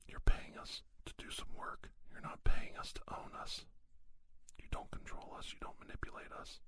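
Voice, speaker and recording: A middle-aged man hosting an ASMR podcast, giving a reflective monologue slowly and deliberately, repeating phrases for emphasis. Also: bright, forceful tone. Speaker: podcast host.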